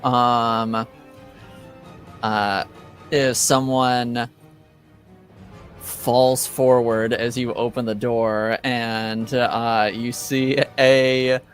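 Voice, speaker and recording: Slow, halting male game master. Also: medium pitch. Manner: halting, searching for words. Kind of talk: improvised tabletop game narration.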